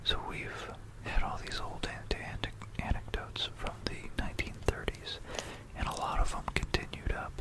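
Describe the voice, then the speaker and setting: An ASMR host telling history in a breathy, unvoiced whisper, unhurried, pausing and softly repeating phrases, close to a stereo binaural microphone. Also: low-quality recording.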